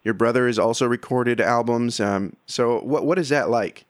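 A man's voice: mid-range voice, moderate pace, dry recording. Man hosting an interview, dry sound. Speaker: interviewer, show host. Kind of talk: conversational interview questions.

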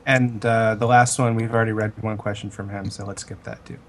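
A man co-hosting a podcast with a casual, blunt and deadpan way of talking. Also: on the low side, brisk, slight background noise. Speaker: podcast co-host.

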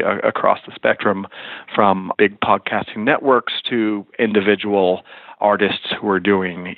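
Low-voiced man on a phone-like line. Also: dry recording. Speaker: guest, music and podcast lawyer. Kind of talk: explanatory interview talk.

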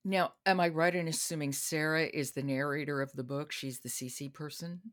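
A woman's voice: sits low, fairly even intonation, normal speed. A woman hosting a podcast, interviewing in a conversational way. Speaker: podcast host.

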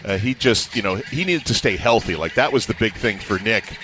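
A man's voice: on the low side, brisk, fairly bright tone. A man working as a play-by-play announcer, giving conversational analysis in a sports radio interview.

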